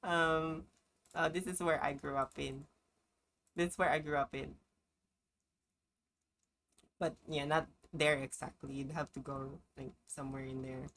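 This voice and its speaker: A woman's voice: sits low, steady tone, measured pace, pauses often, fairly bright tone. A young woman from the Philippines, chatting casually on a live stream, English not her first language, rambling with many ums and little laughs. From Philippines, Benguet region.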